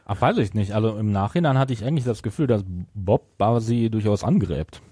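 A man talks casually as a podcast co-host, explaining and correcting. His voice is lowish, mellow and a bit husky. It is recorded dry. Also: expressive, fairly quick, no echo. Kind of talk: casual chat, discussing an audio drama.

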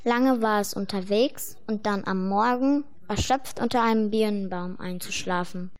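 A woman's voice: mid-range voice, moderate pace. A woman reading scripted fairy-tale narration for a radio play.

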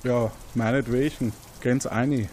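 A man voicing a grudging, folksy Bavarian guard in an audio mystery game, with a gruff manner and a Bavarian accent. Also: medium pitch, steady tone, measured pace, a little mellow, a little hiss or hum, natural voice.

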